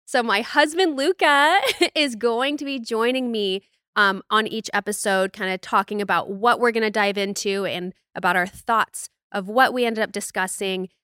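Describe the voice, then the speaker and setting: A young American woman hosting a podcast, chatting and telling personal stories in an informal, animated way. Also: medium pitch, normal speed, clean tone, no room sound, studio quality. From United States. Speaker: podcast host. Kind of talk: casual chat and personal storytelling.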